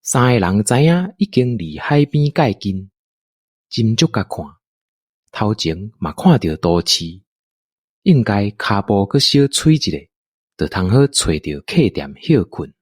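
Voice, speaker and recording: A man with a Taiwanese accent narrating a scripted audio drama in an unhurried, expressive storytelling manner. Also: lowish voice, dry recording, studio quality.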